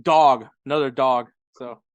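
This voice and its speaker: A man's voice: medium pitch, fairly even intonation, unhurried, no echo, lo-fi sound. A man co-hosting a sports podcast in casual soccer chat, with an informal, jokey way of talking.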